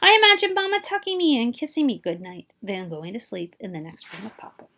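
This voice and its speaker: A woman reading a children's picture book aloud in an animated way, speaking directly to young listeners. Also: on the high side, brisk. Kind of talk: expressive children's read-aloud with asides to listeners.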